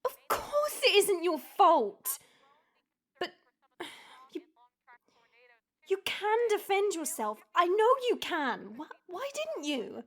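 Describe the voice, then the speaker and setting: Woman acting a high-voiced, worried, pleading wife. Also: big pitch swings, takes it slowly, pauses often, a bit bright, full character voice. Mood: concerned, pleading. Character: concerned, exasperated young wife and mother. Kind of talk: audio drama dialogue.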